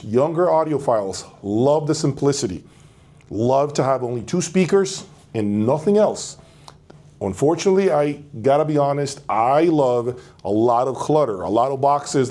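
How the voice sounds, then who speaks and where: Mellow-voiced man presenting audio gear. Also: mid-range voice. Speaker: host of an audio review channel. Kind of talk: casual product presentation to camera.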